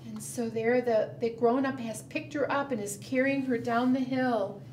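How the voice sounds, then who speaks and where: A middle-aged woman telling a picture-book story to preschoolers in simple, expressive language, often asking the listeners questions. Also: a little high, normal speed. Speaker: children's librarian and storytime host. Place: indoor room, book shown to camera. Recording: roomy, distant mic, background noise.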